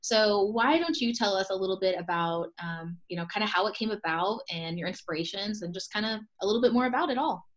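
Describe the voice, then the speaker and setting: A woman's voice: mid-range voice, a little fast, few pauses. A young woman hosting a casual video call interview, upbeat and chatty, often laughing between thoughts. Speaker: host, expo co-owner.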